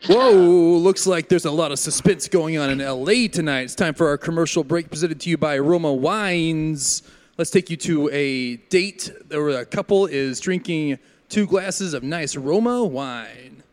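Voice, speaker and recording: A man's voice: a little high, unhurried, in a large hall. A man announcing an improvised comedy show in a mock old-time radio style.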